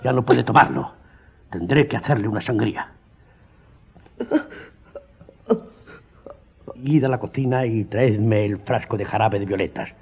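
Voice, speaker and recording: An actor playing a grave, commanding doctor in a radio drama, questioning sternly in a raspy, breathy voice. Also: male voice, lowish voice, normal speed. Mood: urgent, commanding. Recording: dull lo-fi sound.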